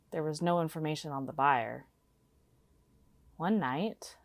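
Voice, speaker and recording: A woman playing an earnest radio caller who tells an eerie personal story in a deliberate, dramatic way. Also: lowish voice, no echo, studio quality, close to an everyday voice. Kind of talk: scripted first-person storytelling.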